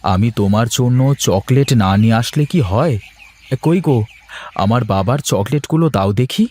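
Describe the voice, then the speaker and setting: A man voicing a warm, excitable village elder brother in a cartoon story, with a homely, fussing manner. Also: medium pitch, moderate pace, lightly acted. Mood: welcoming.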